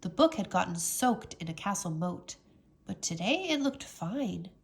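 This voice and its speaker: A woman reading a children's storybook aloud as a teacher, with expressive, dramatic narration. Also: mid-range voice, a little slow.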